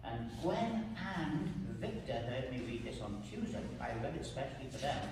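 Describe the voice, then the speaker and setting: A man's voice: mid-range voice, very animated. Older man reading poetry in an echoey hall. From Wales. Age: 70s. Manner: measured, deliberate reading with quiet asides. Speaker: poet reading his own work. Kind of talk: poetry reading to a small audience. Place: gallery room. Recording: echoey hall, distant mic, background noise.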